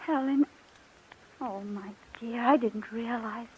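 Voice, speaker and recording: Sweet high-voiced, slow-talking young wife. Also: female voice, husky, exaggerated voice. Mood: tender, earnest. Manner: gushing, gentle, tender. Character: sweet, soft, easily hurt newlywed sister. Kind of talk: old-time radio drama acting. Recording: dull vintage radio recording.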